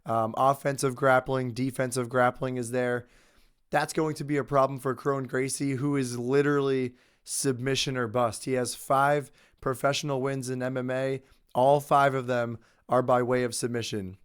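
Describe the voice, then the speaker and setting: A man's voice: mid-range voice, flat delivery, moderate pace, clear, resonant tone. Man with a cold giving fight picks. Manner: conversational, confident opinions with fillers. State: has a cold, losing his voice. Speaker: host. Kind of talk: casual sports picks commentary.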